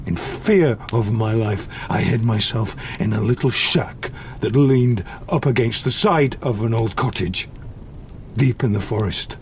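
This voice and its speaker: Slow, deep, gravelly brooding monster. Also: male voice, slight background noise. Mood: solemn, remembering. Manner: slow, deliberate, solemn storytelling. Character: wounded, brooding male monster, eloquent and lonely. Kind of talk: audio drama monologue.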